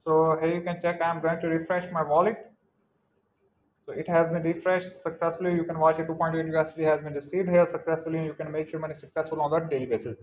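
Fast, flat-toned man narrating a tutorial. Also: a little high, lo-fi sound, slight background noise. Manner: repetitive, formulaic walkthrough phrasing. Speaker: channel host. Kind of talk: screen-recording tutorial voice-over.

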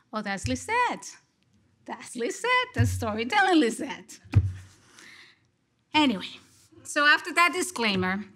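Colombian lady giving a talk on stage, headset mic, slow and deliberate, kinda high bright voice. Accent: Colombian Spanish. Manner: expressive, gesturing, slow and deliberate. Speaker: lecture respondent, psychology professor. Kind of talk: conference response talk. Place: large dark stage at a lectern.

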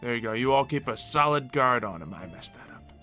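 A man's voice: medium pitch, lively intonation, brisk, sharp tone, soft background music. A man hosting a game video with playful improvised roleplay, in a jokey, rambling way with mumbled asides.

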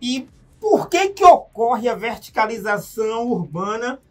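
A man's voice: very high voice, sing-song, a little slow, recorded from a distance. A man hosting a radio interview, asking upbeat leading questions in a studio. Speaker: radio show host.

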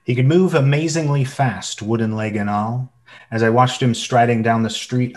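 Man as medium-pitched storytelling adventure narrator. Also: natural voice. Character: reflective narrator recalling his boyhood sea adventure. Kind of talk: scripted radio play narration.

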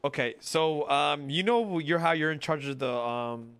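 A man's voice: a little high, a little slow, fairly bright tone, clear, resonant tone, no echo. A man in casual, teasing and pushy phone banter in a role-play game, cursing freely. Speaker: streamer playing a character.

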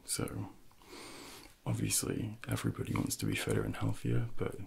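A man in his thirties speaking softly and gently in an ASMR personal trainer role-play, close to the microphone with unhurried, hesitant pauses. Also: sing-song. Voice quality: soft, breathy, gravelly low voice.

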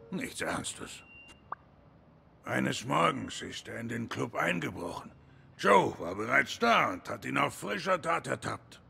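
A big gruff gorilla boxer from a video game. His voice is extremely gravelly and forceful, swinging up and down as he talks bluntly. There is faint noise behind him. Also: medium pitch, heavily acted. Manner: blunt, colloquial. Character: big gruff male gorilla boxer, hot-headed but loyal. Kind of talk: German game dub dialogue.

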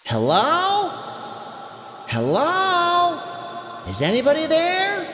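An excitable young boy in a children's story , played by a woman. The voice jumps up high and swings about, full of wonder, with casual exclamations. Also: extremely slow, a little hiss or hum, heavily acted. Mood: puzzled, calling. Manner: amazed, exclaiming, casual kid speech. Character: excitable, wide-eyed young boy at summer camp. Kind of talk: children's story character acting.

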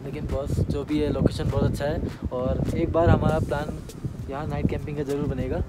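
A man's voice: a little high, flat delivery, fairly quick. Chatty young man vlogging outdoors in wind. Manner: chatty, addresses viewers directly, asks companions questions. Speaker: motovlogger host. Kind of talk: casual travel vlog narration. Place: outdoors on a misty mountain road and trail. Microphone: handheld camera on a selfie stick at arm's length. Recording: loud wind noise on camera mic. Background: loud wind and outdoor noise.

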